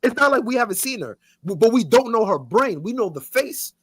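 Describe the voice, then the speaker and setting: A man's voice: very high-pitched, very animated, fairly quick, slight background noise. A man co-hosting a podcast, talking emphatically in casual chat, repeating himself and swearing. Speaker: podcast co-host.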